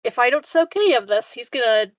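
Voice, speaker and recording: A woman's voice: on the high side, expressive, a little fast, no room sound, clean studio sound. A woman chatting casually as a player in a tabletop roleplaying game.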